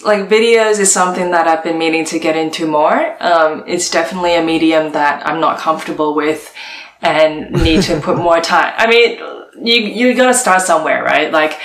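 A young woman from Hong Kong, answering interview questions in a relaxed, chatty way with lots of fillers. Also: deep voice, roomy sound, a little hiss or hum. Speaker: guest, art director.

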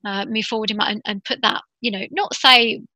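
A woman's voice: a little high, fairly even intonation, a little fast, sharp tone, dry recording. A woman talking in an earnest, encouraging way, with frequent restarts and fillers. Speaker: guest. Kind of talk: casual conversation.

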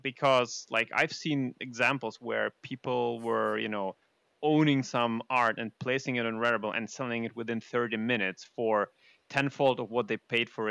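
Gravelly, bright-voiced man hosting a discussion. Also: mid-range voice, dry recording, dull audio. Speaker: discussion host. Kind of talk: casual panel discussion.

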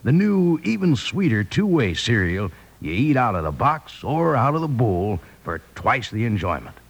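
An American actor playing a cheerful old cowpoke with a raspy voice and drawling, hearty sales patter in a radio advertisement. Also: male voice, mid-range voice, sing-song, normal speed, full character voice. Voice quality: creaky, gravelly, raspy. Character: folksy old cowpoke cereal pitchman, cheerful.

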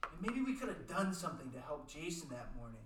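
A man's voice: a little high, very animated, fast talker, noisy background. A man playing an earnest, pushy teenage boy with musical dreams in an amateur radio play. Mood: insistent, pleading. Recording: roomy, distant microphone.

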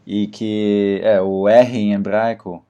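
A man's voice: on the low side, level tone, slow pace, mellow, clear, resonant tone, lo-fi sound. A man hosting a casual podcast chat, opinionated and steering the talk with questions. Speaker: podcast host.

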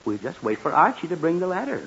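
Blustery, high-voiced flustered dad. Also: male voice, very animated, quick pace, creaky, fairly bright tone, slightly rough, exaggerated voice. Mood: placating. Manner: exasperated, sputtering, pompous. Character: blustery, flustered, self-important middle-aged suburban father. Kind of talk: 1940s radio comedy acting. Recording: hissy, muffled 1940s radio broadcast.

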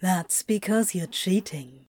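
A woman's voice: lowish voice, slow, darkish tone, no echo, studio quality. A woman playing a teasing, amused male exercise instructor in an audio fiction reading, playful and coaxing but firm. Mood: instructing.

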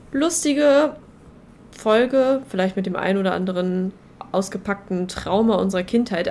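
A woman's voice: medium pitch, darkish tone. A young woman co-hosting a podcast in casual chat, with a joking, ironic manner. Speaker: podcast co-host.

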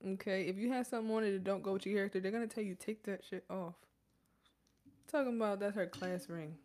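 A woman co-hosting a podcast, chatting casually in an animated, jokey way and laughing often. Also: mid-range voice, fast, a little hiss or hum. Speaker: podcast co-host. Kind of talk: casual chat.

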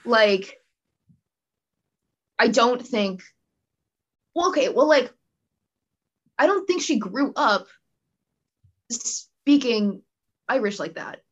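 A teenage girl guest on a podcast, chatting in a relaxed, easygoing way. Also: fairly high pitch, slow pace, pauses often, dull audio. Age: teens. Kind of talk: casual chat.

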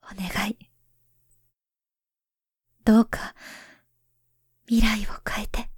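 A woman voicing a grown woman who writes to her past self, speaking softly and gravely, often near a whisper. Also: mid-range voice, little pitch movement, very slow, no echo, studio quality, exaggerated voice. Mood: pleading. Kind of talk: voice drama letter monologue.

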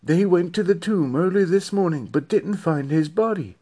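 A man voicing an earnest, troubled disciple in a dramatized scripture reading, animated and emphatic, with a husky voice. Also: high-pitched, normal speed, pitched above the performer's own voice. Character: earnest, troubled male disciple recounting recent events.

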